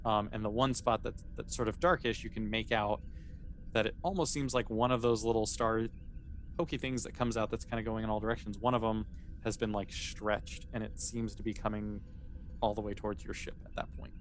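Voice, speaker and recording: A man with a fairly low voice narrates a tabletop sci-fi game as game master. He explains things casually and conversationally. There is noticeable background noise in the recording. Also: steady tone, a little fast.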